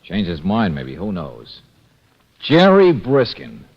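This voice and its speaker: An actor playing a tough, sardonic private detective in a 1940s radio drama, with a clipped delivery. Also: male voice, deep voice, wildly swooping pitch, takes it slowly, a little hiss or hum, exaggerated voice. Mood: wry, impatient. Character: tough, wry male private detective for hire. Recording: dull vintage radio recording, music behind.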